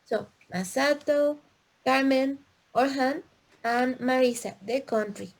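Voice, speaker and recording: A woman teaching an English listening lesson, speaking slowly and repeating answers with emphasis. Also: high-pitched, rough recording. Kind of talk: classroom lesson.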